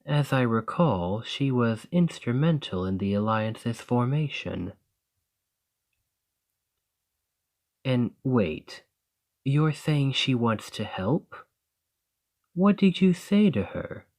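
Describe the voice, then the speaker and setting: A man voicing a calm, gracious male lamia noble merchant in an ASMR roleplay, speaking softly in a measured, formal way. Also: medium pitch. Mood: curious. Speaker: boss speaking to the listener.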